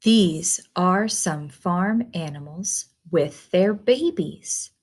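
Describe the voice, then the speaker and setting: A woman reading a children's picture book aloud in a simple, deliberate, instructive way, putting questions to the listener. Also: on the low side, animated, darkish tone.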